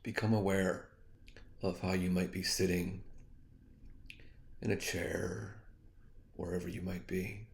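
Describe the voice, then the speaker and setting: A man leading a guided meditation as a teacher, speaking in a soothing, gently suggestive way with long, unhurried pauses. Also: low voice, little pitch movement, creaky. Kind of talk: guided meditation, hypnotic spoken guidance.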